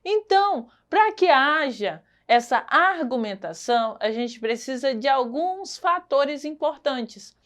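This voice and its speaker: A woman's voice: high voice, lively intonation, takes it slowly, few pauses, fairly bright tone. A woman teacher with a Brazilian Portuguese accent giving a recorded lesson, explaining patiently, repeating key points and checking in with her students.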